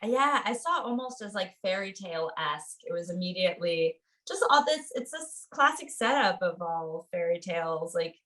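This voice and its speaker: A woman's voice: lowish voice, animated, moderate pace, few pauses, fairly bright tone. A woman co-hosting a podcast, chatting casually about fiction. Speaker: podcast co-host. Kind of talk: casual conversation.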